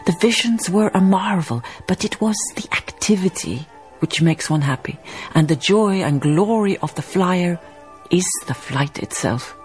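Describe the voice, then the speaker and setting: An actress playing a reflective woman memoirist, narrating a radio drama in a measured, lyrical way, savouring her phrases. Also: on the low side, lively intonation, faint music behind, low-quality recording. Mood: rapt, nostalgic. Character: reflective, poetic woman memoirist recalling colonial farm life.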